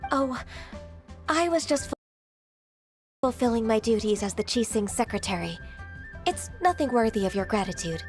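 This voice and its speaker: A dutiful young secretary from a fantasy video game. Her voice sits a little high and she speaks with formal, gentle composure over faint music. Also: animated, normal speed, long pauses. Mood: modest, polite. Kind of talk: video game character acting.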